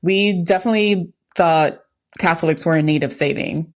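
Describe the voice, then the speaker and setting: An American woman with a low-pitched voice, chatting as a podcast co-host. She speaks candidly and reflectively, in an informal conversational style. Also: normal speed. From United States, lived in New York when young. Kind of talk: casual chat.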